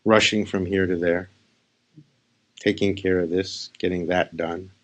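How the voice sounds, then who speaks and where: A man speaking as a spiritual teacher, leading a guided meditation in short, unhurried phrases with pauses. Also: sits low, flat delivery. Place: live session.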